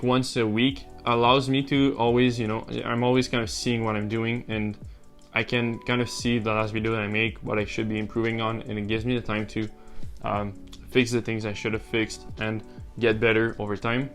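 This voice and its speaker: Casting: young man, relaxed vlogger, mid-range voice, faint music underneath. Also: medium pitch. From French-speaking Canada. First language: French. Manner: relaxed, rambling with many uh and um fillers. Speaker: YouTuber. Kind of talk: casual talking-to-camera vlog.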